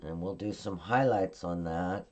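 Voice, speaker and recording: A woman at her craft table giving a calm, step-by-step card-making tutorial while she works. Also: very deep voice, unhurried, slight background noise. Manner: calm, instructive, narrating her hands-on work.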